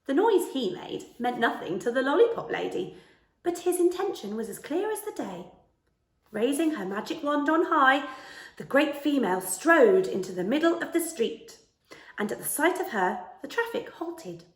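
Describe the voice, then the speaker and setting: A woman's voice: sits high, sing-song, moderate pace. A woman in her thirties reads a children's story aloud with careful, dramatic expression. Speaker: storyteller reading a children's book. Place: small living room, indoors. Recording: roomy indoor echo.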